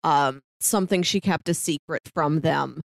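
A woman's voice: sits low, moderate pace, vocal fry, no room sound, clean studio sound. A woman co-hosting a podcast, discussing film casually and conversationally, often peppering her sentences with "like". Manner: conversational, frequently says like. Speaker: podcast co-host.

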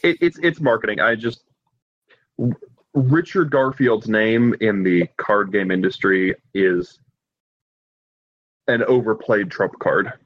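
A man with a fairly low voice shares opinions in a casual review chat. His microphone is dull and lo-fi. The sound is dry, with hardly any room around him. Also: takes it slowly, pauses often, no room sound. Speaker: podcast co-host and reviewer. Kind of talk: casual opinionated board game discussion.

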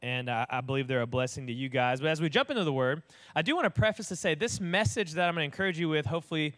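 A young man, an associate pastor with a booming voice, telling stories in a casual, animated sermon. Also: racing. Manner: animated storyteller, acts out voices.

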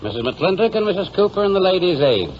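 Wry, lively young minister. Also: male voice, high voice, fast talker. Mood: courteous, probing. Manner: formal, genial, dryly humorous. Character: wry, courteous young male Methodist minister, quietly determined. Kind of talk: 1940s radio drama acting. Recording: dull, noisy old radio recording.

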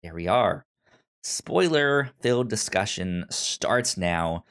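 A man's voice: lowish voice, lively intonation, takes it slowly, background noise. A man, probably in his thirties, hosting a casual podcast chat, who stammers and repeats syllables as he talks. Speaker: podcast host.

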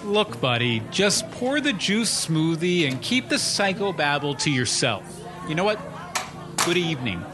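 A man's voice: fairly high pitch, big pitch swings, measured pace, over music. A man playing a vain, bitter anchorman with a pompous, sarcastic manner in an audio comedy drama. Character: vain, bitter, disgraced fake news anchorman.

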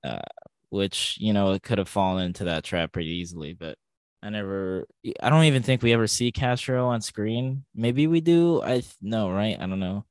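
A man's voice: lowish voice, normal speed, no room sound, clean studio sound. A man co-hosting a podcast in casual discussion, thinking aloud and often hesitating or correcting himself. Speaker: podcast co-host.

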